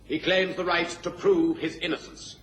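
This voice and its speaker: Guy playing barrister, high voice, pompous n theatrical, echoey court, radio drama. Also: little pitch movement, normal speed, slight background noise, lightly acted. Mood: scornful, oratorical. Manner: pompous, declamatory.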